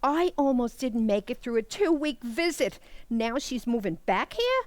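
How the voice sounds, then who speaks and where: A woman playing a bossy, sarcastic married sister in a comic radio play, with a brisk, emphatic delivery. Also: a little high, very animated, dry recording. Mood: dismayed. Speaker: actor in community theater. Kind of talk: comic radio theater acting.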